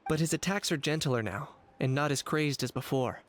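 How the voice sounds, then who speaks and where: A man voicing an earnest, loyal young tribesman in an anime game, speaking calmly and sincerely. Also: a little high, moderate pace, natural voice. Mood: measured. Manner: calm, sincere.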